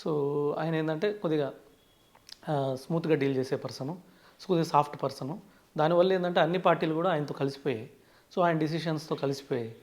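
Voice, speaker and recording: A man's voice: a little high, brisk, long pauses. A man with a Telugu accent speaking as a finance expert in an interview, explaining things with English terms mixed in and often starting sentences with "so". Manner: frequently opens sentences with "so".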